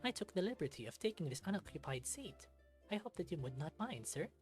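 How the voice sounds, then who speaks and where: A young male canine student, as a narrator acts him out, raised in pitch above the storytelling voice. He speaks with smug, formal courtesy, like a visual novel rival, over music. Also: sing-song, a little fast, close to an everyday voice. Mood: smugly polite.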